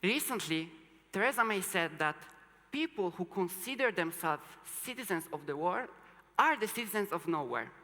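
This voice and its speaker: A young adult from Poland, a native Polish speaker, giving a rehearsed, earnest public talk in deliberate phrases as a student speaker. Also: mid-range voice, lively intonation, bright, forceful tone. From Poland, moved to Italy as a teenager. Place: large indoor hall or stage. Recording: reverberant hall sound.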